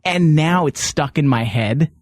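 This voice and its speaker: Higher-voiced American man, dry close sound. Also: expressive, unhurried, dry recording. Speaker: show host. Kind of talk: casual comedic banter.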